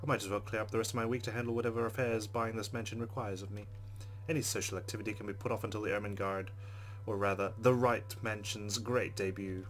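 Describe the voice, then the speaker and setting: Low-pitched man reading a game aloud. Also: level tone, fast, poor audio. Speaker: let's player. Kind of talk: reading visual novel narration aloud with casual commentary. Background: faint music.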